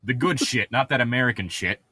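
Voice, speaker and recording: A man's voice: medium pitch, a little fast, sharp tone, no room sound. A man co-hosting a read-aloud, delivering prose and breaking off for irreverent, sarcastic, sweary commentary. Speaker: co-host reading a novel aloud with commentary. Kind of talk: reading aloud with casual joking commentary.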